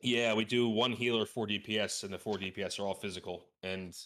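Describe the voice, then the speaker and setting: A man's voice: fairly low pitch, fairly even intonation, a little fast, sharp tone. A man talking casually as a guest on a gaming podcast, using informal gamer slang.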